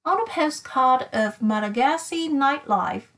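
A woman reading a children's picture book aloud, with careful, deliberate and expressive phrasing. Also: high-pitched. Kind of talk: slow bilingual children's story read-aloud.

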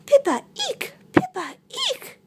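A woman voicing a tiny, helpless, panicked female mouse with a squeaky voice in a storybook read-aloud. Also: wildly swooping pitch, slow, background noise, heavily acted. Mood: squealing panic. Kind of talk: children's picture-book read-aloud.

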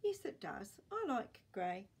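A woman acts out a fussy old grandpa from a children's storybook. He is polite but plaintive and weary, with a husky voice that rises and falls a lot. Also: medium pitch, very animated, slow pace, heavily acted. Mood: firm, complaining.

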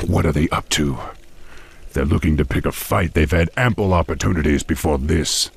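An actor playing a young orc war chief in a video game, calm, firm and commanding. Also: male voice, vocal fry, husky, exaggerated voice. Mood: wary. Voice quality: very deep. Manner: measured, firm.

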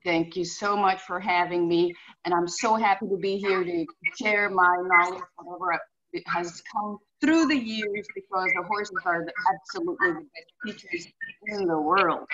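A woman's voice: lowish voice, moderate pace, crowd noise. Finnish-accented woman on a muffled video call. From Finland. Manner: earnest, explains with examples, frequent "you know" and "right?". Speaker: horse behaviorist guest. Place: home room indoors. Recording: muffled video call audio with faint hiss.

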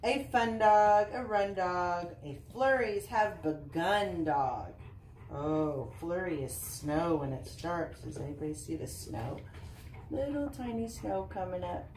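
Slow-paced woman reading to children, roomy sound. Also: on the low side. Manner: expressive, singsong reading with chatty asides to children. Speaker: volunteer reading aloud to children. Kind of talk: picture book read-aloud for children. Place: living room indoors. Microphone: camera a short distance away. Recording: roomy, distant camera sound with faint noise.

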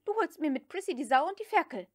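A headstrong young lady of the old South, acted by a woman narrator, speaks high and curt. She snaps out orders in a harsh, commanding manner, as in a novel read aloud. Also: very animated, quick pace. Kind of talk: audiobook character dialogue.

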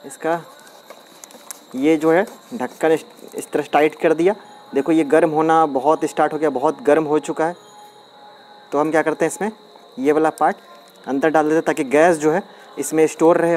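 A man's voice: fairly high pitch, steady tone, moderate pace, pauses often, darkish tone, a little hiss or hum. A man chatting casually as he shows a hands-on experiment step by step, recorded outdoors on a phone held over his hands. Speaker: DIY experiment vlogger. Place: outdoors on a concrete floor, at dusk.

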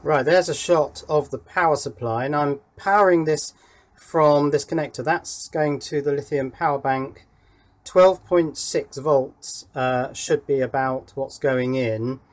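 A man's voice: fairly high pitch, unhurried. Man casually explaining at a workbench. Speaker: electronics hobbyist presenter. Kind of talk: casual workbench demonstration talk. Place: indoor electronics workbench.

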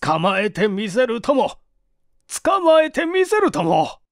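A man voicing a proud, exasperated police detective in a storybook reading, with a groaning, drawn-out delivery. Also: very high voice, big pitch swings, a bit bright, dry recording, clean studio sound, voice pushed up, full character voice. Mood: desperate.

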